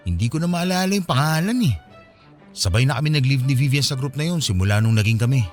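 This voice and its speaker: A man's voice: medium pitch, animated, quick pace, soft background music, close to an everyday voice. An actor playing an easygoing, unromantic young man talking casually with a friend in a Filipino radio drama. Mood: easygoing, earnest.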